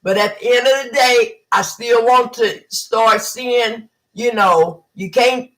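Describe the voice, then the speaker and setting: A woman's voice: on the high side, takes it slowly, fairly bright tone, low-quality recording. A woman, hosting a casual livestream and talking bluntly with mocking impressions. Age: 50s. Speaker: livestream host.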